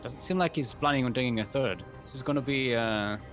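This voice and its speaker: A man calling a live video game match play by play, often halting on fillers as he tracks the action. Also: medium pitch, a bit bright, soft background music. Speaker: esports caster.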